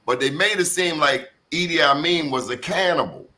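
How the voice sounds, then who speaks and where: A man's voice: a little high, sharp tone. A man in his sixties hosting a group discussion, speaking emphatically and often cutting in. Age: 60s. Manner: emphatic, quick to interject. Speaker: host.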